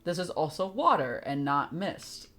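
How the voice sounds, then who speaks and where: Low-voiced, animated woman streamer. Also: measured pace. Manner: wry, chatty, sarcastic. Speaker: game streamer. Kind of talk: casual live gaming commentary.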